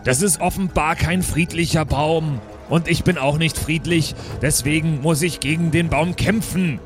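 Man playing a stubborn, higher-pitched combative dwarf. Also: steady tone, normal speed, over music, close to an everyday voice. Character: combative, grumbling male fantasy dwarf.

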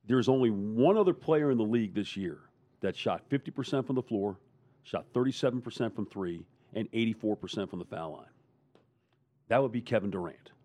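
A man's voice: on the low side, animated, brisk, dark tone, dry recording. Man talking sports, dry close sound. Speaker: radio play-by-play broadcaster. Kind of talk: sports roundtable discussion.

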